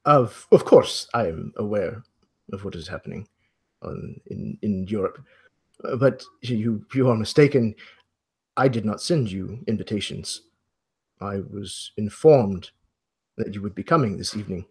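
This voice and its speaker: A man playing an aging, courteous host of a mansion in a tabletop game, speaking in a halting, apologetic and formally polite way. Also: fairly low pitch, darkish tone, husky. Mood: evasive, polite. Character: aging, courteous, flustered old mansion host in plaid suit. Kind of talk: improvised roleplay dialogue.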